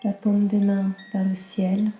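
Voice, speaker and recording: A woman guiding a slow relaxation meditation in a calm, soothing, soft and breathy voice that often nears a whisper. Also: medium pitch, reverberant, soft background music. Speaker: yoga nidra guide.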